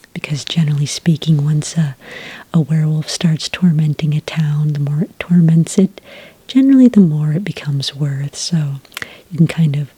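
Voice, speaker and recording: A woman speaks in a soft, breathy whisper, playing a friendly clerk in an ASMR roleplay. Her voice is low and mellow, recorded very dry. She explains each step in a gentle, unhurried customer-service manner. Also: no room sound. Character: friendly female registration clerk for werewolf hunters. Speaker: ASMR roleplayer as a licensing associate.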